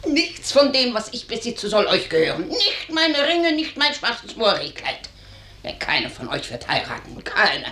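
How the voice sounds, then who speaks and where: A roomy recording with faint noise holds an old, unhinged grandmother. She speaks on the high side, childlike, insistent and defiant, in radio drama style. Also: sing-song, measured pace, pushed and bright, full character voice. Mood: demanding, confused. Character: deranged, defiant old grandmother longing to marry. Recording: echoing room, faint background noise.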